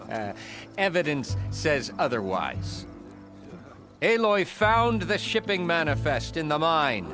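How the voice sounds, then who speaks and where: A stern, weary magistrate, slow and measured, with a raised, lively voice. He speaks formally and carries dry amusement. Soft game music lies under him. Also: on the high side. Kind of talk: video game cutscene acting.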